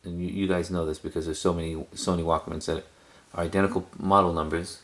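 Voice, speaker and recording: Deep gravelly man, casually rambling. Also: level tone, quick pace. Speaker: cassette collector video host. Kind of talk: casual rambling show-and-tell.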